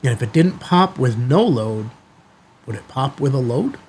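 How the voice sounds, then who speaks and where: A man's voice: medium pitch, lively intonation, normal speed, a little mellow, slight background noise. A man hosting a casual product review vlog, talking conversationally and emphatically in short bursts. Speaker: YouTube channel host.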